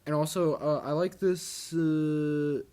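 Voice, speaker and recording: A man's voice: on the high side, steady tone, slow pace, mellow. A man co-hosting a podcast, chatting casually with a lot of swearing and teasing. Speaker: podcast co-host. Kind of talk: casual banter about manga.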